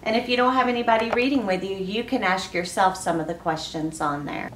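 A woman reads aloud for children as a school reading coach. She is expressive and stops to ask her young listeners questions. She sounds set back from the microphone in an echoey room, with faint noise behind her. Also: medium pitch, brisk. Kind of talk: children's picture book read-aloud. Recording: roomy, distant mic, faint background noise.

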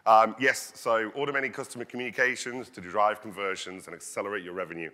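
A man in his thirties giving an energetic conference talk, projecting his voice as he walks the stage on a headset mic. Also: fairly low pitch, fairly quick. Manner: loud, projecting voice. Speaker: conference speaker. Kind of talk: conference presentation. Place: large conference hall stage. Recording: long hall reverb.

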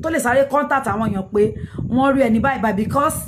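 A woman's voice: on the high side, rapid-fire. A middle-aged woman with a Nigerian Yoruba accent, a native Yoruba speaker, giving casual live commentary in an emphatic way, repeating her phrases. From Ijebu, southwestern Nigeria. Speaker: livestream host commenting on news. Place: small indoor room with curtain. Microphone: phone at arm's length. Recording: noisy phone recording with room ambience.

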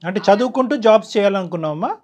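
A man's voice: sits high, expressive, racing, a little mellow. A middle-aged man hosting a counselling interview in a studio, asking probing questions. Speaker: counsellor and show host. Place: quiet dark TV studio.

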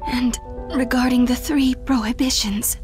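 High-voiced, tearful dying mother. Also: fairly even intonation, over music. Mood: tender, tearful. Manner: halting, gentle. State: weak, breathy, tearful. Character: dying young mother, tender, doting, stubborn.

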